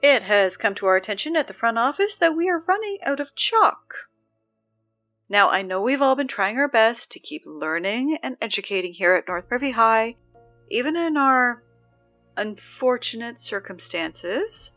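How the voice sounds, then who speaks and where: A woman's voice: fairly high pitch, sing-song, moderate pace, bright, forceful tone, muffled recording, soft background music. An actress playing a teenage girl who reads school announcements over the speakers, dry and exasperated. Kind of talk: audio drama reading school announcements.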